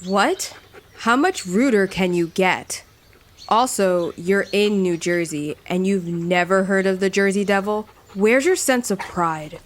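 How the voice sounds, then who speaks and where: A woman's voice: mid-range voice, unhurried, a little hiss or hum, close to an everyday voice. Woman voicing a blunt, touchy devil creature. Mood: rude, indignant. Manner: blunt, indignant, sarcastic. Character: touchy, rude, mistrustful Jersey Devil park ranger creature. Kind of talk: audio drama acting.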